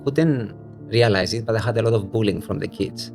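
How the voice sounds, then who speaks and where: A man's voice: lowish voice, fairly quick, darkish tone, faint music behind. A man in his thirties from Cyprus, born in Bulgaria, talking reflectively as a podcast guest. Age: 30s. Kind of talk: reflective personal interview. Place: home studio at a dining table.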